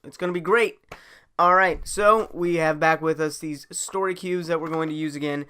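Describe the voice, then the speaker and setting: A man casually improvising a story as host, halting and thinking aloud as he goes. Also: fairly high pitch, steady tone, sharp tone, clear, resonant tone.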